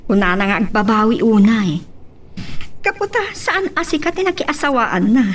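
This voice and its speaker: A woman's voice: on the high side, normal speed, natural voice. A woman playing a boastful, showy rich wife in an Ilocano audio drama, with an Ilocano accent. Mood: smug. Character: boastful rich wife flaunting her wealth. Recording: distant mic, faint noise.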